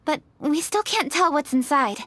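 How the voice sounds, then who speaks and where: A woman's voice: high-pitched, lively intonation, normal speed, pushed and bright, no echo. An actress playing a diligent, practical young woman official in a fantasy game, with an articulate, businesslike delivery. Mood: brisk, thoughtful. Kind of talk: scripted fantasy game dialogue.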